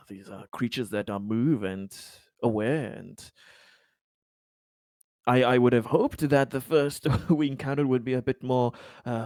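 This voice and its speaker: A man's voice: medium pitch, a little mellow, no echo, close to an everyday voice. Man playing a pompous, sardonic expedition leader, dry close sound. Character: pompous, sarcastic male leader of a space expedition.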